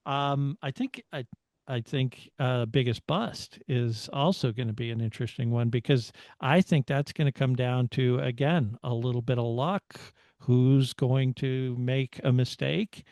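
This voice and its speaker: A middle-aged American man co-hosting a motorsport podcast, talking through his analysis in a casual, measured way as he thinks aloud. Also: mid-range voice, darkish tone, no room sound, studio quality. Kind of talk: casual race analysis chat.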